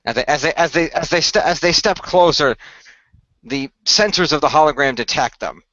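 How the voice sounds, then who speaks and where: A man narrating an improvised role-playing story as game master, explaining things in a halting way. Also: fairly high pitch, fairly bright tone, no echo, lo-fi sound. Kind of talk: improvised storytelling and in-character talk for an audio role-play.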